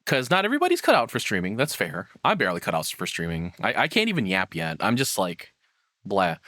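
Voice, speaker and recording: Bright-voiced man ranting casually, very close and dry. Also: on the low side, huge pitch swings, fairly quick, dry recording. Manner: rambling, opinionated, profane. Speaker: streamer reacting to a video. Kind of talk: casual livestream commentary.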